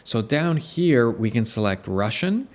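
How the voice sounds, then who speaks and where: A man hosting a software tutorial, explaining each step in an upbeat way. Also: mid-range voice, very animated, a little slow. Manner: explanatory, upbeat, walks through steps. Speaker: tutorial host. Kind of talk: screen-recorded software tutorial.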